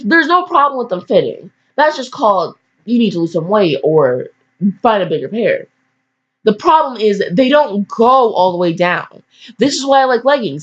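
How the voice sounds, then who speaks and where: A teenage girl casually reading aloud and rambling off on goofy, self-deprecating asides. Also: a little high. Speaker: fan fiction reader. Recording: dull lo-fi microphone.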